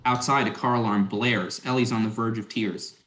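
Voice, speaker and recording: A man's voice: fairly low pitch, steady tone, moderate pace, fairly bright tone. A man narrating stage directions in a scripted dramatic reading. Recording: roomy, echoing sound.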